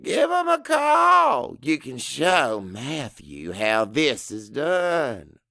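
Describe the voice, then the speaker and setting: An impatient old boss barks demands in a raised, high voice , acted by a male audiobook narrator. He is gruff and scolding, with big swings in pitch and a dry, close sound. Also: huge pitch swings, extremely slow, pushed and bright, dry recording, heavily acted. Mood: angry, demanding. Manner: barking, scolding. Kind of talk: audiobook character acting.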